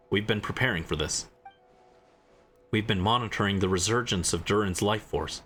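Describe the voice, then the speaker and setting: A man's voice: on the low side, fairly bright tone, close to an everyday voice. Man reading as composed, eloquent male commander.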